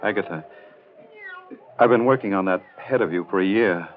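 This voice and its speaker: Man playing a deep-voiced brooding, menacing sculptor. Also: moderate pace, natural voice. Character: embittered brooding sculptor husband, menacing and obsessed. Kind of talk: 1940s radio acting. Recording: muffled, noisy 1940s radio broadcast.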